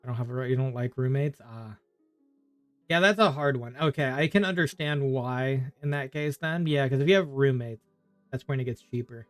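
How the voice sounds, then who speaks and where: A man's voice: mid-range voice, normal speed, dry recording, soft background music. A man chatting casually on a game livestream, rambling and thinking aloud as he plays. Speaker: game streamer. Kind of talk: casual livestream chat while gaming.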